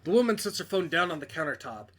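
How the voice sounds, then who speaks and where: A man's voice: on the high side, big pitch swings, fast talker, a bit bright. Man narrating a visual novel aloud. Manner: stumbles over words and corrects himself. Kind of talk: dramatic reading with off-the-cuff asides.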